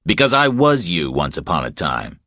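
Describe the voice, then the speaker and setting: A man reading as Jack Reacher, deep-voiced, insistent ex-military cop. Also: sing-song, normal speed, bright, forceful tone, dry recording, studio quality, exaggerated voice. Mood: urgent, insistent. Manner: terse, urgent, insistent. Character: Jack Reacher, blunt insistent male former military policeman.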